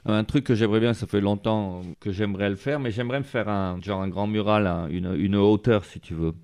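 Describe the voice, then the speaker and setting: A man's voice: fairly low pitch, steady tone, a little fast, a little mellow, clear, resonant tone. A man being interviewed as a street artist, talking casually and rambling with many fillers. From France.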